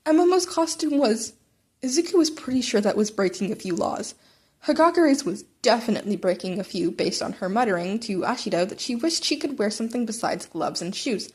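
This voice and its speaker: High-voiced woman reading fan fiction aloud. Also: lively intonation, brisk, husky. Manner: stumbles and rereads phrases. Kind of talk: amateur audiobook-style narration of fan fiction.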